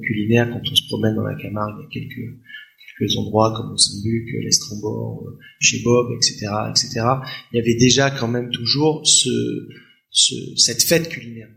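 A man's voice: mid-range voice, gravelly, a bit bright, poor audio. A man answering conversationally as an interview guest, hesitant as he searches for his words. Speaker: interview guest, restaurant professional.

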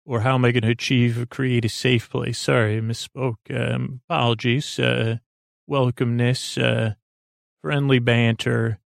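A man with a creaky, fry-laden voice speaks in a very even, lulling tone on a close, dry recording. He rambles gently and reassuringly like a bedtime podcast host, halting often and drifting through filler words and tangents. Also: medium pitch, no room sound. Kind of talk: rambling soothing bedtime monologue.

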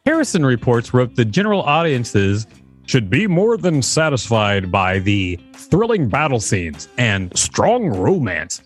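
A male podcast co-host with a lively, swooping voice who reads aloud and breaks into playful asides. He laughs easily and puts on quick comic voices. Faint music plays underneath. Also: medium pitch, breathy edge. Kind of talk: reading aloud and casual commentary.

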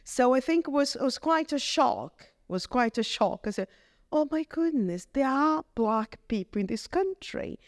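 A middle-aged woman with a Brazilian Portuguese accent, speaking in English as a vicar. She gives an earnest, halting testimony and often restarts her phrases. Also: high voice, expressive, dry recording, studio quality. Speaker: vicar, guest. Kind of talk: reflective testimony in conversation.

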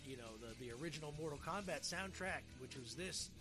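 A man's voice: on the high side, animated, fairly quick, music in the background. A middle-aged man hosting a podcast in casual chat, rambling and often repeating his words. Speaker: podcast host.

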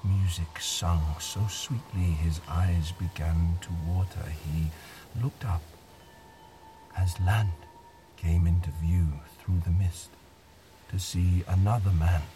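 An actor playing a weary old man telling a haunting tale in an audio drama, measured, hushed and solemn. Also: sits low, background noise. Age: older.

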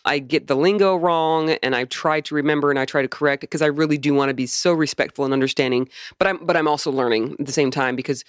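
A woman's voice: sits low, steady tone, fast talker, hardly any pauses. A middle-aged woman co-hosting a podcast in casual conversation, chatty and self-deprecating. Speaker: podcast co-host.

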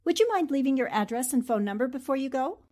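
Woman acting as a 1940s radio girl reporter, high voice, brisk and in charge. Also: animated, dark tone. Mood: urgent, businesslike. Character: brisk, self-possessed woman newspaper reporter.

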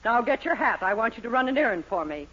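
An actress playing a stern, tart middle-aged mother in a 1940s radio sitcom, crisp and commanding. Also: on the high side, sing-song, fast, a bit bright, full character voice. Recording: dull old radio broadcast.

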